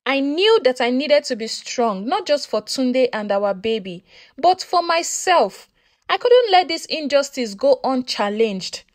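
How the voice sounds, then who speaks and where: A woman with a Nigerian accent narrating a first-person folktale in an expressive, deliberate, emotive way. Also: high-pitched, no room sound, studio quality. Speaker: storyteller.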